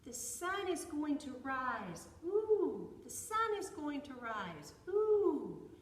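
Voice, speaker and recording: Woman putting on a high, cheeky little squirrel. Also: very animated, a little slow, a bit bright, full character voice. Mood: sing-song insistence. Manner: sing-song, taunting, questioning. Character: small cheeky squirrel, doubting and teasing. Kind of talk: oral storytelling character voice. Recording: echoey hall, distant mic, faint noise.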